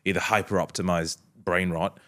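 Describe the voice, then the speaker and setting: Deep-voiced male podcast host. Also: level tone, normal speed, fairly bright tone. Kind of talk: casual interview chat.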